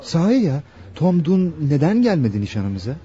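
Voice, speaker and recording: An actor playing an attentive, earnest young fiancé in a Turkish radio play, speaking tenderly. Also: male voice, on the high side, very animated, normal speed, dark tone. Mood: puzzled. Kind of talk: Turkish radio theatre acting. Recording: dull lo-fi radio drama recording.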